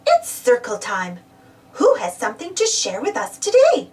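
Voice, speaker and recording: A woman's voice: high voice, wildly swooping pitch, normal speed, a little mellow, far from the mic, heavily acted. A woman voicing a kindly, cheerful preschool teacher in a storybook, encouraging and sing-song.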